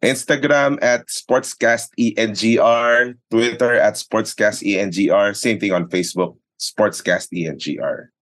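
Low-voiced Filipino man in conversation. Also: fairly even intonation, a little slow, hardly any pauses, fairly bright tone. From Philippines. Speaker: guest, sports announcer and radio commentator. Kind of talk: conversational interview answers mixing English and Tagalog.

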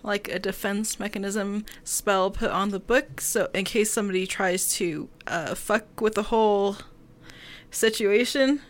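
Woman chatting casually, medium pitch. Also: a little slow. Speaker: podcast co-host. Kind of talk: casual film discussion.

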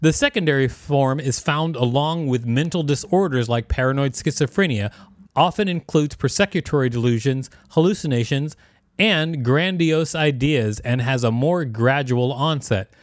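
A man co-hosting a podcast, reading an encyclopedia article aloud in a plain, steady way and joking between sections. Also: medium pitch, moderate pace, dry recording, studio quality. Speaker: podcast co-host and reader.

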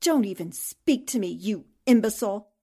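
A woman's voice: medium pitch, animated, normal speed, dark tone, husky. An actress playing a spirited, earnest newspaperwoman heroine in a theatrical 1940s-style radio drama. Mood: indignant. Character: spirited, indignant female newspaper columnist heroine.

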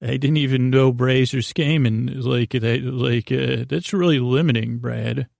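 Creaky-voiced man rambling as podcast host. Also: mid-range voice, a little mellow, dry recording, studio quality. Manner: meandering, halting, repeats and restarts himself; silly, playful banter with an imaginary guest. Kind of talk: rambling bedtime podcast monologue.

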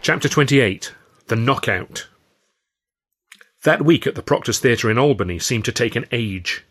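A man's voice: medium pitch, expressive, a little slow, a bit bright, breathy edge. A man reading his own novel aloud as a scripted, first-person audiobook narration.

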